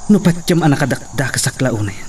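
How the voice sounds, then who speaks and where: A man's voice: mid-range voice, lively intonation, fairly quick, darkish tone, lightly acted. A man with an Ilocano accent playing a scheming, guilt-ridden man in a radio drama, intense and theatrical. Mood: defiant. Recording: slightly distant mic, faint insect noise.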